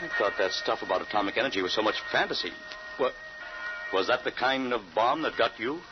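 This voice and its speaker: A man's voice: mid-range voice, lively intonation, brisk, over music, close to an everyday voice. An actor playing a cautious, doubting middle-aged father in an old-time radio drama, hesitant and questioning. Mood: shocked. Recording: muffled, noisy old radio recording.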